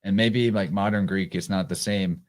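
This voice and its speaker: A man with a fairly low voice hosts a live podcast in easy, casual conversation. He talks in a relaxed way and reads listener comments aloud between thoughts. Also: moderate pace. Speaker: podcast host.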